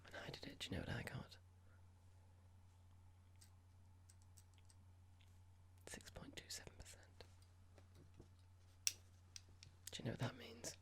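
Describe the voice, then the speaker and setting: A performer playing a horrible, condescending young corporate boss in an ASMR roleplay, speaking in a breathy whisper with a measured, pompous, formal manner. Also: natural voice. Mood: smug, stern. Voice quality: breathy, gravelly whisper with clicks.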